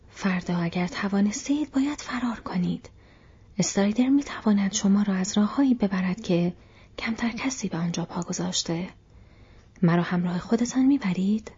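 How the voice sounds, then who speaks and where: Woman playing a grim secretive ranger, grave warnings, breathy whisper, dark mellow tone. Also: fairly high pitch. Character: grim secretive male ranger, weathered adult. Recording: dull lo-fi recording.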